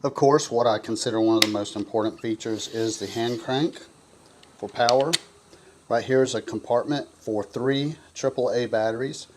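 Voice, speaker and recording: A man talks through a product in a casual review. He sounds folksy and enthusiastic, with a medium-pitched voice. There is some faint noise behind him. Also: moderate pace.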